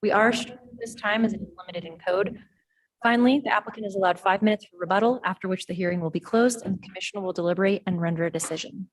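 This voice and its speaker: Fast-talking woman reading formal procedures. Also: mid-range voice, rough recording. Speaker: meeting staff reading hearing procedures. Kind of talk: scripted formal announcement.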